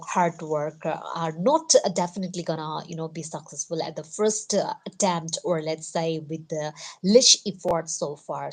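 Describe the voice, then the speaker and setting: A woman who is not a native English speaker gives a solo motivational talk on a livestream, halting with many fillers and lifting her pitch at each new sentence. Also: darkish tone. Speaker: livestream host.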